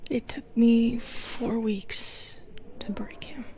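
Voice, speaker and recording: Woman acting a slow, whispering, predatory Medusa. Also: a little high, fairly even intonation, mellow. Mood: sly, patient. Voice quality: breathy whisper. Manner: taunting, coaxing, drawn-out delivery. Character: sly, teasing, predatory female Medusa with long nails. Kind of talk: slow whispered audio roleplay, ASMR.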